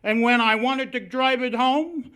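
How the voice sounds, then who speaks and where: A mournful, henpecked postman in an old-time radio comedy. He plods along meekly and slowly, with a doleful air. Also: extremely high pitch, sharp tone, smooth, heavily acted. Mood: mournful deadpan.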